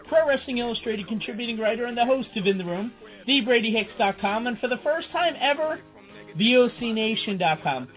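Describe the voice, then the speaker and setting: A man reading a friendly promo for his wrestling show. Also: very high voice. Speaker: podcast host. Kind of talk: scripted promo. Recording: tinny line with faint music.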